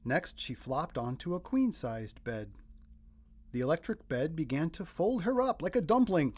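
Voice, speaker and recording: A man reading a children's picture book aloud as narrator, in expressive scripted storytelling. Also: on the high side, unhurried.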